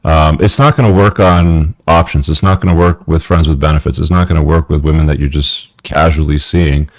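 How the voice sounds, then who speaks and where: Male call-in host, very low pitch, dry sound, blunt casual advice. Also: steady tone, a little fast, no room sound. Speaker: podcast host. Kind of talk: live call-in advice show. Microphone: headphones.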